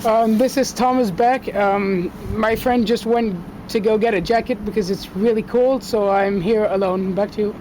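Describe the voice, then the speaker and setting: A man's voice: sits high, fairly even intonation, moderate pace, hardly any pauses, a little mellow, slight background noise, low-quality recording. A man speaking politely and a little hesitantly as a guest in a casual amateur radio chat.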